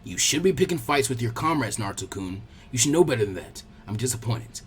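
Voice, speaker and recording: A man voicing an aged village leader, kindly but gently stern, in measured grandfatherly tones for a fan fiction audiobook. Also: mid-range voice, expressive, husky, voice pushed down. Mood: disappointed.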